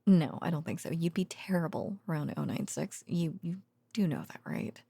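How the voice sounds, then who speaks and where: A woman voicing a tender, weary researcher girlfriend in an intimate ASMR audio roleplay, speaking softly and hesitantly, partly in whispers, close to the microphone. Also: low voice, animated, normal speed, no room sound, full character voice. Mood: teasing, affectionate. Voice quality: soft, breathy, partly whispered. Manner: hesitant, drowsy, musing, with sighs and soft chuckles.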